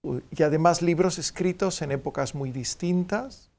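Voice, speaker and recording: A middle-aged man answers as a studio interview guest. His voice sits a little high, and he explains in a measured, didactic way with some hesitation. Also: vocal fry, darkish tone. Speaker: guest, priest and Bible professor. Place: dark TV studio at a table. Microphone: studio microphone on a stand.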